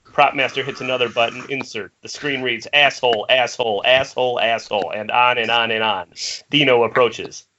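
A man reads screenplay directions as narrator. His voice is bright and forceful at a middle pitch, over a muffled internet call. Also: steady tone, moderate pace. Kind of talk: reading screenplay stage directions.

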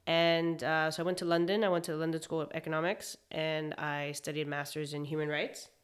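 Young woman, very low pitch, hesitant, reflective interview guest. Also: level tone, brisk. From Hong Kong. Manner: reflective, hesitant with many fillers. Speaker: guest, chef. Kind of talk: casual interview.

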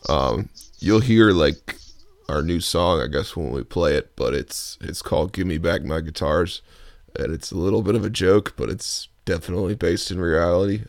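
A man with a deep baritone voice hosting a casual interview, talking with frequent ums and restarts. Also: vocal fry, dry recording. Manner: hesitant, many ums and restarts. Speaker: podcast host.

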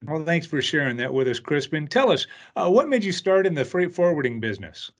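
A man's voice: a little high, sing-song, fairly quick. A man hosting a podcast in a polished, upbeat way, reading an intro and asking interview questions. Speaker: podcast host.

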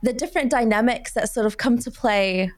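A woman's voice: a little high, brisk. A woman hosting a podcast in a casual conversational way, talking in long, run-on sentences with fillers such as "like" and "sort of". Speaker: podcast host.

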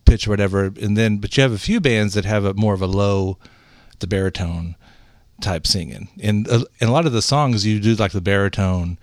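A man hosts a relaxed interview, talking haltingly and trailing off into fillers. His voice sits on the low side. The sound is dry and close. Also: no room sound. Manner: halting, lots of fillers and restarts. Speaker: podcast host. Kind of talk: casual interview chat.

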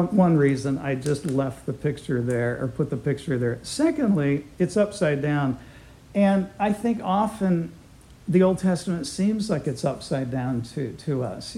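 Gravelly, mellow-voiced male Bible teacher. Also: a little high, rough recording. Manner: warm, conversational, chuckles often, with fillers. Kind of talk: class lecture with opening prayer.

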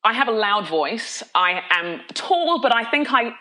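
A bright, ringing woman's voice from London, middle-aged and full of energy. She speaks as a candid interview guest, laughing often and restarting sentences as her thoughts race ahead. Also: on the high side, sing-song, unhurried, rough recording. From London, of Nigerian heritage. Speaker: podcast guest, pastor.

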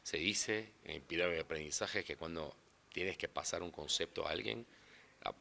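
A man's voice: deep voice, moderate pace, gravelly, sharp tone, a little hiss or hum. A man from Brazil, a native Portuguese speaker, talking casually in an interview about his work as an interpreter, rambling and restarting often.

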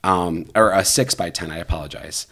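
A man in his thirties with a notably low, deep voice, hosting a podcast. He tells stories casually, wandering through details with plenty of "like" and "bro". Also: moderate pace, bright, forceful tone, slightly rough. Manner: rambling storyteller, lots of fillers and "bro". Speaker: podcast host. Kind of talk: casual conversation.

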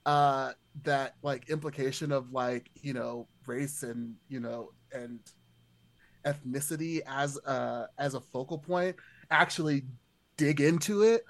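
A man's voice: medium pitch, measured pace. Rambling man in casual discussion. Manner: rambling, circling sentences full of "like". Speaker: podcast guest.

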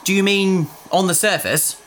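An actor playing a bookish, curious young adventurer who talks eagerly, like a lecturer. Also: male voice, high voice, normal speed, fairly bright tone, slight background noise. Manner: enthusiastic, lecturing. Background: music.

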